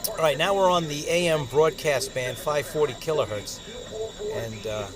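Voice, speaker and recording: A man giving a casual hands-on product review outdoors, speaking in a halting, hesitant way. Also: a little high, a loud crowd. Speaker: radio reviewer. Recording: outdoor noise, insects and traffic.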